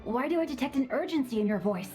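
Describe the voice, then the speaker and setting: Polite young noble heir, composed and formal, game music faint behind. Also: female voice, high voice, expressive, quick pace, warm tone. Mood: calm. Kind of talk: game dialogue.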